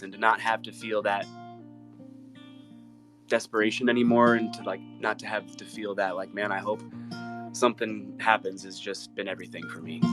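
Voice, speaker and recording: An American man, a singer-songwriter guest, talking casually and from the heart in an earnest, effusive, halting way. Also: lowish voice. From Ohio, United States. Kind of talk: casual heartfelt chat between live songs.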